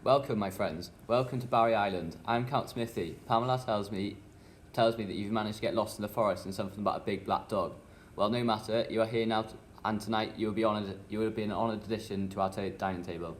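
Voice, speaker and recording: Man acting as vampire count, quick speech, low pitch, pompous, sinister, radio drama. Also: fairly even intonation, slight background noise, close to an everyday voice. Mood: grandly hospitable. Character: grandly welcoming vampire count, formal and sinister.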